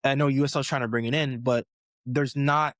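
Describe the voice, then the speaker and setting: A man's voice: medium pitch, fairly even intonation, brisk, a bit bright, no room sound. A young American man, a professional footballer, chatting casually as a podcast guest, rambling with many restarts and fillers. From United States. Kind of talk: casual interview chat.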